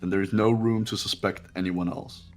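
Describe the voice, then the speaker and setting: A man's voice: deep voice, fairly even intonation, moderate pace, faint music behind, natural voice. A man playing an aloof, coolly logical, haughty young man in a mystery video game, speaking formally. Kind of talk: dramatic game voice acting.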